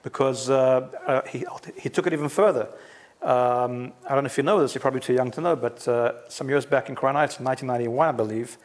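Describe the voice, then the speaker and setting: A man's voice: mid-range voice, fast. A man from South Africa, hosting an interview show, asking probing questions and talking persuasively. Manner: probing, persuasive, talks in long runs. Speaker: talk show host. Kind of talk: casual interview.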